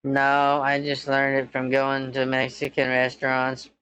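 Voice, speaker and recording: A man's voice: mid-range voice, completely flat delivery, unhurried, bright, forceful tone, smooth, rough recording. A man in his twenties, a scambaiter posing as a son, chatting casually in a hesitant, rambling way. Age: 20s. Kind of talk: casual phone chat.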